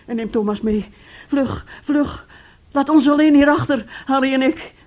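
A woman's voice: sits high, darkish tone, natural voice. An actress in a radio play voicing a despairing middle-aged mother, with a brooding, anguished way of speaking. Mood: desperate pleading. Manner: slow, brooding, anguished storytelling. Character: despairing mother, an aunt, anguished over her missing son. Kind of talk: Dutch-language radio play acting.

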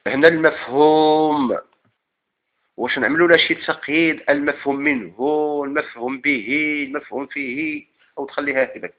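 A man's voice: on the high side, steady tone, moderate pace, phone-quality sound. A man with a Moroccan Arabic accent teaching a class on legal theory, quizzing students with repeated questions and then answering them himself. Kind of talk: classroom lecture.

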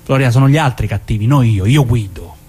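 A man's voice: medium pitch, expressive, a little fast, a little mellow, breathy edge. An actor playing a hardened, threatening mafia man with a southern Italian accent, stubborn and self-justifying, in a stage drama. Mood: menacing, self-justifying. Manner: menacing, swearing, cajoling. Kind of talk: dialect stage drama acting.

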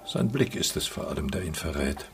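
An actor playing a pensive, melancholy film director in a German radio drama, musing earnestly. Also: male voice, a bass voice, lively intonation, fairly quick, vocal fry, a little hiss or hum, full character voice.

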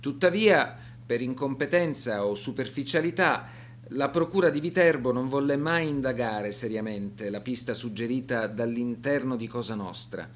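A man narrating a scripted documentary radio drama in a formal, deliberate manner. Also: medium pitch, a little hiss or hum.

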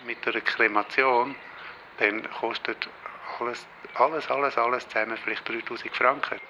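A man's voice: medium pitch, fairly even intonation, moderate pace. A man with a Swiss German accent, an undertaker explaining matter-of-factly and listing practical details in an interview. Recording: clear background noise.

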